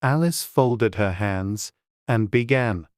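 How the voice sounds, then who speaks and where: A man's voice: fairly low pitch, takes it slowly, darkish tone, dry recording. A man reading scripted audiobook narration as the storyteller, close to the microphone.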